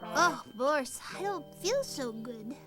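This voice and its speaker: A woman voicing a gentle female moose with a cold in a cartoon. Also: high-pitched, lively intonation, a little slow, over music. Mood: gentle, sickly. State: stuffy from a cold. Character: young female moose friend, sick with a cold.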